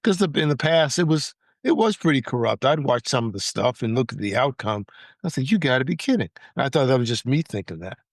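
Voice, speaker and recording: A man's voice: medium pitch, very animated, fairly quick, no echo, studio quality. An American man co-hosting a podcast in a casual, chatty style. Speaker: podcast co-host. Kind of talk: casual chat.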